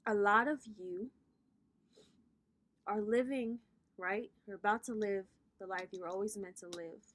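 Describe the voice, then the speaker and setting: A woman's voice: mid-range voice, steady tone, a little slow, fairly bright tone. A woman in her thirties doing a casual tarot reading vlog as a spiritual coach, with a sassy, playful way of talking that drops swear words. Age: 30s.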